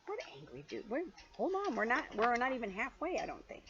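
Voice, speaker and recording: A mature woman reading a picture book aloud to a child in an animated, playful way, with chatty asides. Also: a little high, brisk. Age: middle-aged or older. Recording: dull lo-fi home recording, faint noise.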